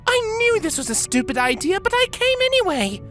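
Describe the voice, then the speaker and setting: A woman's voice: extremely high pitch, big pitch swings, fairly quick, over music, pitched above the performer's own voice. A woman voicing a timid, worried young pony filly in a hesitant, faltering manner for a story. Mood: guilty.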